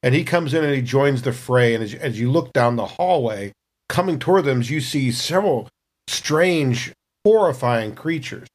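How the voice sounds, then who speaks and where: A man's voice: mid-range voice, expressive, moderate pace. A man narrating a tabletop role-playing scene in a casual, improvised storytelling style.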